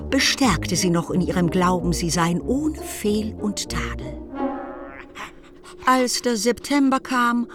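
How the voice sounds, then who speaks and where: A woman narrating a German literary radio play from a script, in a wry, expressive manner. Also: lowish voice. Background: music.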